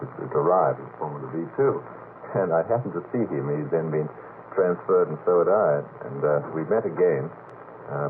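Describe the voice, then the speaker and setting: Low-voiced man on noisy vintage radio. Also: narrow, tinny audio. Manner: hesitant, courteous, wordy, searching. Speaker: radio panelist putting questions. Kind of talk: late-night radio panel discussion. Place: radio station studio.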